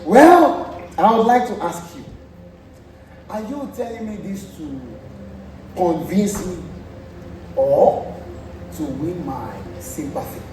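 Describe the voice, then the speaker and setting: A man's voice: sits high, expressive, slow, long pauses. A Nigerian man acting a boastful, teasing lord in a stage play, with exclamatory delivery. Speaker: student stage actor. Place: large indoor theatre stage. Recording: very echoey theatre, distant, clear noise.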